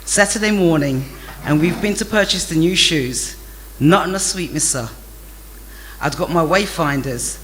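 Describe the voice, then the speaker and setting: A middle-aged man, reading a childhood memoir in an animated, amused way. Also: fairly high pitch, measured pace, slight background noise, low-quality recording. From England.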